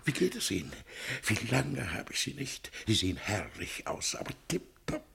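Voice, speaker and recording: An actor playing a meek, flustered middle-aged husband in a German radio play, hesitant and deferential. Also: male voice, lowish voice, big pitch swings, moderate pace, creaky, sharp tone, full character voice. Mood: flustered, furtive.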